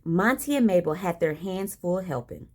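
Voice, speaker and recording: A woman reading a children's picture book aloud in an expressive style with dramatic emphasis. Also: fairly low pitch, moderate pace. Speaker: storybook reader. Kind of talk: animated children's read-aloud.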